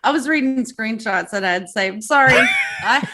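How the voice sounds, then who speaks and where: A woman's voice: a little high, expressive, moderate pace, pushed and bright. A woman co-hosting a casual recap show, speaking in an informal, chatty way. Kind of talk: casual chat recapping a TV episode.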